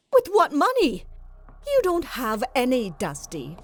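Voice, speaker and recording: A woman's voice: high voice, huge pitch swings, slow, dry recording, exaggerated voice. A woman playing a cold, cruel pony wife in an audio drama, speaking with contempt and dripping disappointment. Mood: mocking.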